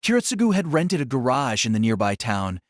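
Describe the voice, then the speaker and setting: A man reading scripted, dramatic novel narration as an audiobook narrator, in a measured, formal way. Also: medium pitch, sing-song, dry recording, studio quality.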